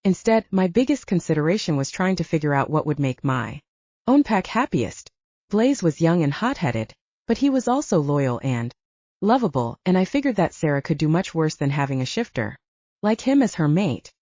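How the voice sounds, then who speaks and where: Mellow, animated woman narrating fiction. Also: sits low, moderate pace, no room sound, lo-fi sound. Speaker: audiobook narrator. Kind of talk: scripted first-person fiction narration.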